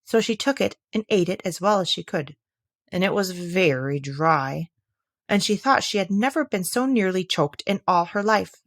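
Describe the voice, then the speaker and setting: A woman narrating a classic children's story aloud in an expressive, scripted storytelling style. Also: medium pitch, normal speed, no echo, studio quality. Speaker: audiobook reader.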